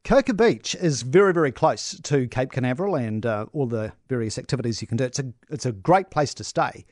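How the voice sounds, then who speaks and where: A New man telling a travel story on breakfast radio with animated enthusiasm. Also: medium pitch, fairly quick, dry recording, clean studio sound. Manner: animated storytelling, talks up attractions. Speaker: travel correspondent.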